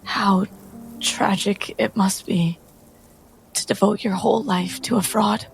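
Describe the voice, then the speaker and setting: A woman narrating her character's actions in a tabletop game, halting with dramatic pauses. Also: medium pitch, steady tone, gravelly, faint music behind. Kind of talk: scene narration in roleplay.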